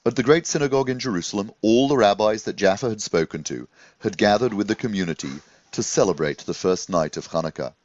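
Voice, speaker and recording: A man reads a children's story aloud as an expressive narrator, in a gentle, measured storytelling manner. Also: lowish voice, no room sound, dull audio. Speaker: storybook reader.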